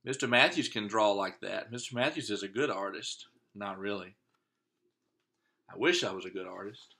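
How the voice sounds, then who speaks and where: A man's voice: fairly low pitch, vocal fry, sharp tone. A man, an elementary teacher, reads a children's picture book aloud and breaks off for casual asides about the pictures. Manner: pauses to comment on the pictures.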